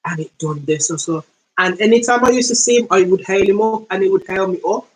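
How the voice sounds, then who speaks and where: A woman with a London accent telling a story in a casual, slangy monologue, acting out quoted speech in slow, deliberate tones. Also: mid-range voice, rough recording. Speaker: podcast host.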